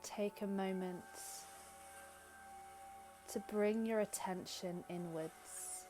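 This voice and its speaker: A woman leading a guided meditation in gentle, soothing, unhurried short phrases with long pauses. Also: medium pitch. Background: background music.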